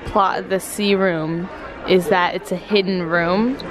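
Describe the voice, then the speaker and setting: Slow-talking young woman in a crowd. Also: lowish voice, fairly even intonation. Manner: slow, careful listing. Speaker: family member on a vlog. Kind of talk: casual description of food. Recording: noisy crowded restaurant. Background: crowd chatter and music.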